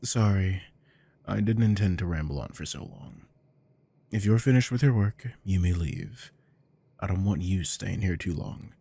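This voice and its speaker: A man acting a weary, possessive, domineering office boss with a deep voice, in a scripted ASMR roleplay. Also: vocal fry, dark tone, slightly rough, full character voice.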